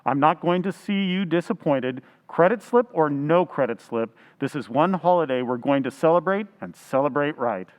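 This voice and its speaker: An earnest, proper American suitor, acted by a man in an old-time radio comedy. He sounds naive and courteous, with a fairly high voice and animated pitch. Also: normal speed, hardly any pauses, long reverb tail, natural voice, low-quality recording. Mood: reassuring, proud.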